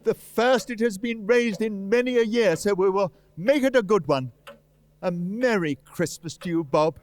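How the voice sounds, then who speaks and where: Man acting reformed old miser, high-pitched, eager, generous, radio play on stage, dry close sound. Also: normal speed, no echo, close to an everyday voice. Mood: joyful, generous. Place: live performance in a church.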